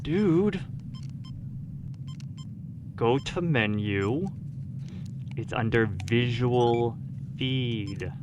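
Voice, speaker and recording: An actor playing a sardonic space scientist in an audio drama, dry and deliberate. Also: male voice, mid-range voice, noisy background. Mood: dry, sarcastic. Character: sardonic male space scientist on a corporate station.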